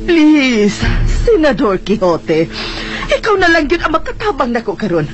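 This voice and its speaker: A woman's voice: high-pitched, sing-song, a little slow. An actress playing a desperate, bossy, corrupt woman senator in a melodramatic, volatile Cebuano radio drama performance. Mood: desperate, furious. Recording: noisy radio drama, music bed.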